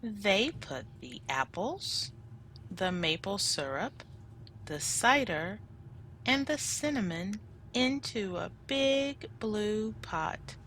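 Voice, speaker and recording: A woman teacher reading a children's storybook aloud, enunciating deliberately for young listeners. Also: medium pitch, animated, a little hiss or hum, rough recording. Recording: loud music behind the voice. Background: music.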